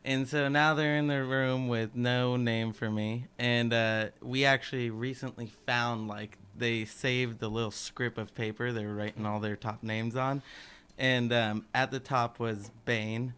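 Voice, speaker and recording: A young man from the Bay Area, a band's bass player, telling a funny story in a relaxed, joking way in a casual radio interview. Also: a little slow, clean tone. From San Francisco Bay Area. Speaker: bass player, band guest. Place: community station studio. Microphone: studio mic.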